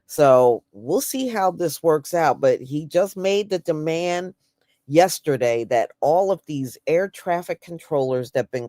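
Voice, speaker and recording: A woman's voice: deep voice, a little mellow, dry recording, studio quality. A woman with an American accent giving blunt, folksy, casual and opinionated commentary as a livestream host. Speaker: host of an online news commentary stream.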